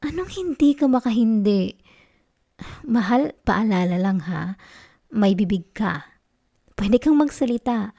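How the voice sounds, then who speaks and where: A woman playing a needy, affectionate wife in an intimate ASMR roleplay, with a Filipino accent and a soft, coaxing, pouty delivery. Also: mid-range voice, lively intonation, unhurried, long pauses. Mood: scolding, concerned. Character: needy, affectionate wife, sulky yet tender and teasing.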